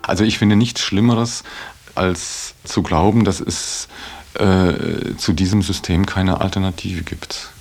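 A man's voice: low-pitched, steady tone, slow, gravelly, darkish tone, faint music behind. A man giving a reflective interview in earnest, articulate phrases, hesitating often as he weighs his words. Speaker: interviewee in a radio feature.

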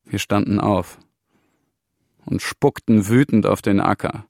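A man acting as a grim storyteller in a literary radio drama, speaking in a measured, deliberate way. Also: lowish voice, steady tone, vocal fry, a little mellow, dry recording, studio quality. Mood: grave, measured. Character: grim man telling of economic collapse as 'we'.

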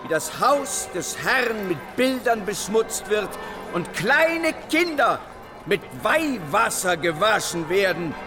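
An actor playing a fiery, zealous sixteenth-century revolutionary preacher in a radio play, declaiming in a rousing, deliberate way. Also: male voice, high voice, very animated, full character voice. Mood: fervent, rousing. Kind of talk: dramatic sermon in historical radio play. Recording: loud music under the voice.

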